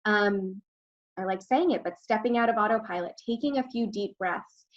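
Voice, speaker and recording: A woman's voice: medium pitch, normal speed, dull audio. A woman from Texas co-presenting a webinar in an upbeat, conversational way. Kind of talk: webinar talk.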